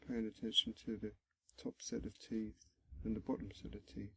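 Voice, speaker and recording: A man guiding a body scan meditation in a gentle, instructional manner, pausing often between short phrases, with a low, husky, creaky voice. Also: monotone, dry recording. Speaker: meditation guide.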